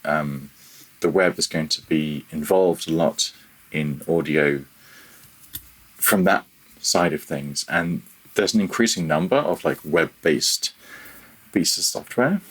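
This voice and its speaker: A man with a low, slightly rough voice talks casually as an interview guest. He thinks aloud as he goes, starting and restarting, and tends to play himself down. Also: poor audio. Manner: halting, self-deprecating, thinking aloud. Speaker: interview guest, freelance audio developer.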